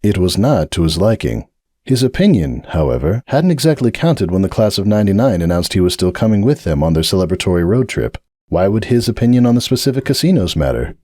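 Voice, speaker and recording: A man narrates a dramatic reading in a measured, expressive way, with pitch that rises and falls freely. His voice sits a little low with a dark, mellow tone. The recording is dry and close. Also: no room sound.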